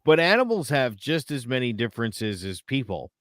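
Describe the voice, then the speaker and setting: A man co-hosting a casual podcast, bringing up topics and telling stories in a relaxed way. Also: mid-range voice, moderate pace, dry recording, clean studio sound. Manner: relaxed, storytelling, raises topics. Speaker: podcast co-host.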